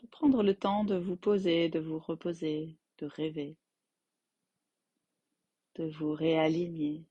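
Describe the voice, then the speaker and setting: A woman guiding a yoga nidra relaxation as its teacher, in gentle, unhurried phrases with long pauses. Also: low-pitched, steady tone, husky, no room sound, highs cut off. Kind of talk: guided meditation, slow soothing instruction.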